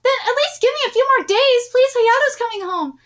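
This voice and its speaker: A gentle manga grandmother, voiced by a woman reader, humble and apologetic in a halting way. The voice is high and bright, with a slightly dull recording. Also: animated. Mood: pleading. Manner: pleading, halting, polite.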